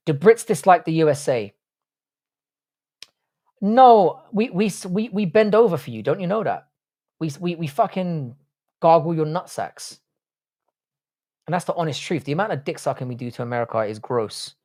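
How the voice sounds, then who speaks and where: A man's voice: on the high side, lively intonation, normal speed, long pauses, darkish tone. A young man hosting a live stream, reading chat messages and answering in blunt, jokey, crude banter. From Ireland, grew up in the UK. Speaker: livestream host reading chat.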